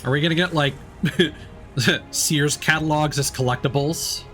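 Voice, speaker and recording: A man's voice: fairly high pitch, steady tone, a little slow, bright, forceful tone, faint music behind. A man doing casual, rambling let's play commentary as a game streamer, chatty and easygoing.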